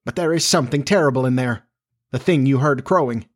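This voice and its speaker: Guy doing a scared pirate deckhand from a storybook, cowed n protesting, voice pushed higher. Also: brisk, close to an everyday voice. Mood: shaken. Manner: anxious, protesting. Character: rough, frightened pirate crewmen, superstitious and cowed. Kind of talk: audiobook character voice.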